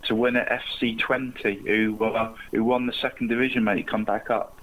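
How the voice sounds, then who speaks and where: A man's voice: mid-range voice, level tone, normal speed, fairly bright tone, rough recording. A man co-hosting a casual football podcast, chatty and jokey, calling his co-host mate again and again and laughing often.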